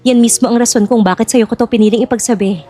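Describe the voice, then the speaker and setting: A woman's voice: fairly high pitch, fairly even intonation, fast talker, mellow, faint music behind, close to an everyday voice. A woman playing an earnest, guarded young bride-to-be in a Filipino audio drama, speaking conversationally. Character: Jasmine, worried young bride-to-be of a rich old man.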